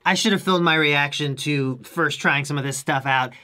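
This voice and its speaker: A man's voice: fairly high pitch, moderate pace. A young man with a head cold, chatting in an upbeat way as he explains his sound design in a home music studio. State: congested with a cold. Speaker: music producer and YouTuber. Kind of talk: casual tutorial vlog.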